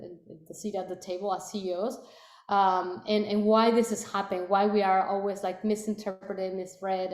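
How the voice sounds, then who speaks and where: A woman's voice: mid-range voice, darkish tone. Spanish-accented woman in an echoey room. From Latin America. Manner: hesitant, frequent fillers and restarts. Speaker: product leader. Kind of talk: panel conversation.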